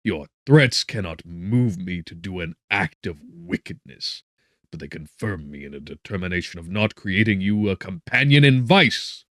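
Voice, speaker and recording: Man playing a loud, defiant gothic scientist. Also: low voice, expressive, moderate pace, no echo, clean studio sound. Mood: resolute rage. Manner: forceful, declamatory, indignant. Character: defiant, anguished male scientist confronting his creation. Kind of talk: dramatic gothic audiobook acting.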